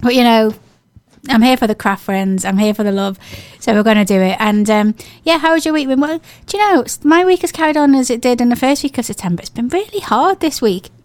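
A woman with an English accent presenting a radio show, her voice croaky and hoarse from a cold, chatting in a gushing, rambling way. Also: a little high, expressive, a little fast, a little mellow, no echo. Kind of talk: casual radio chat.

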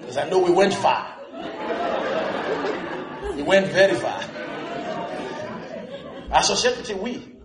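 A man preaching a lively sermon as pastor, with jokes, asides and laughter, in a church. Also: high-pitched, takes it slowly, pauses often, over a noisy crowd. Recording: echoey church with music.

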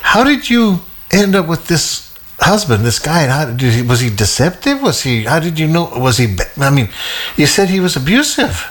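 A man, a pastor, hosting a Sunday church interview, talking haltingly with many fillers and restarts. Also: fairly high pitch, sing-song, fairly bright tone.

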